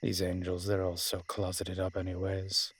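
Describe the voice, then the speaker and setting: A man acting as a wry, flirtatious incubus demon in a roleplay audio drama, teasing and sardonic, with a husky, breathy voice. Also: low-pitched, completely flat delivery, normal speed, slight background noise, close to an everyday voice.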